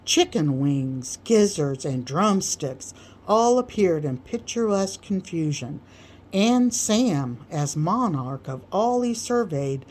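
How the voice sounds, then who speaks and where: A woman with a slightly low voice reads literary prose aloud. Her phrasing is measured and deliberate, in the manner of an audiobook narrator. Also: a little mellow, slight background noise. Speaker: audiobook reader.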